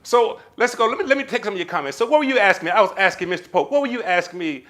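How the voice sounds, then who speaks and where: Высокий, очень живой мужской голос. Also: male voice, high-pitched, rapid-fire. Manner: animated, addresses viewers with pet names like baby. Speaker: livestream host answering viewer comments. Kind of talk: casual live Q&A giving relationship advice.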